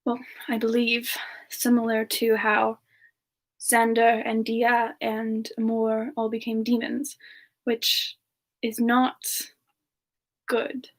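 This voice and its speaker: A woman plays a gentle frost siren with a high, soft voice. She talks haltingly and candidly in an intimate semi-ASMR roleplay, often dipping toward a whisper. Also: completely flat delivery. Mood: hesitant, cautioning. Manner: soft, hesitant, halting delivery with whispery dips. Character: gentle female frost-covered siren, modest and playfully candid. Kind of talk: semi-ASMR in-character question and answer.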